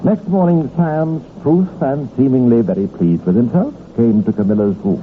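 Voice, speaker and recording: Wry male radio crime narrator, tinny recording. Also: medium pitch. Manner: wry, measured storytelling. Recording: tinny, lightly noisy old radio recording.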